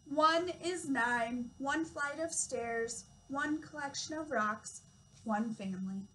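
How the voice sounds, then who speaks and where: Young female preschool teacher, high-pitched, simple instructive read-aloud delivery for toddlers, noisy room recording. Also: slow, fairly bright tone. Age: young adult. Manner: simple, instructive phrasing with questions to children. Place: small classroom, indoors.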